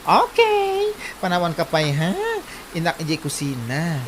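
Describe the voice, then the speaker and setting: A woman's voice: deep voice, huge pitch swings, measured pace, slight background noise, full character voice. A performer, heard as a woman, acting a mocking, scolding relative in an Ilocano comedy drama, taunting and exclamatory with an Ilocano accent.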